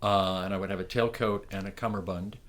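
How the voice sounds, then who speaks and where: A man's voice: sits low, fairly even intonation, fairly quick. A middle-aged man from Ontario, Canada, recalling his years as a morris dance barker in a rambling interview, with many restarts and fillers. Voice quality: loud projecting voice. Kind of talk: reminiscing oral history interview.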